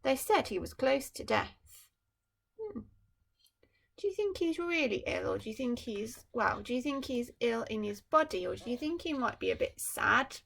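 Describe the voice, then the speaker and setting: A woman teacher reading a storybook aloud to children, adding gentle, musing asides to her young listeners. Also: fairly high pitch, very animated, moderate pace, creaky. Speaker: primary school teacher.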